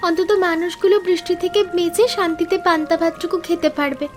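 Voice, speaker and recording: A woman voicing an eager, caring young village daughter in an animated story. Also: extremely high pitch, fast. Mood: eager, helpful. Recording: voice over background music.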